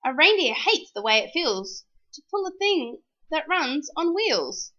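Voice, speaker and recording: Female storyteller for picture-book verse: high voice, very lively sing-song delivery, dull lo-fi sound. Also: a little slow. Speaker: storyteller reading a children's picture book aloud. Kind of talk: expressive children's storybook read-aloud.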